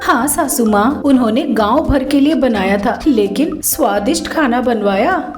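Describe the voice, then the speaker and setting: Comic story role: a woman as food-obsessed young daughter-in-law, high, whiny, cheeky, quick to argue. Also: a little mellow, reverberant, soft background music, natural voice. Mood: pleased.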